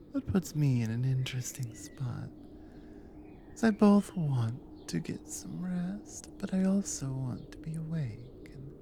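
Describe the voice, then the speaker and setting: A woman playing a devoted, protective Nordic adventurer wife in an intimate ASMR roleplay, drowsy and tender, breathy and often slipping into a whisper. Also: rumbling low, slight background noise, exaggerated voice. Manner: slow, soft, tender with pauses.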